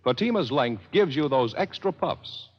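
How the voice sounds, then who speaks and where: A man announcing in 1950s radio style, with dramatic, emphatic pitchman delivery. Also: expressive, normal speed. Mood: pitching. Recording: muffled old radio broadcast with reverb and music.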